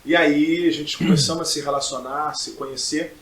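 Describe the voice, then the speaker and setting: Higher-voiced Rio man in a roomy space. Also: fairly even intonation, moderate pace, fairly bright tone, a little hiss or hum. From Brazil. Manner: emphatic, repeats phrases for effect. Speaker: podcast guest, pastor and preacher. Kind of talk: personal testimony in conversation.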